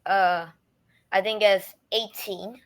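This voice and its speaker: A speaker from Taiwan practicing English on a video call, hesitant and full of fillers. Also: male voice, sits high, fairly even intonation, slow pace, fairly bright tone, clean tone. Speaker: English learner. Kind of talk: casual conversation.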